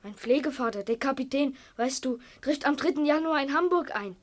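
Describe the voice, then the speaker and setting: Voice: sits high, a little fast, close to an everyday voice. A performer in a radio play playing a stoic, lonely schoolboy, thoughtful and matter-of-fact. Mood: calm, resigned.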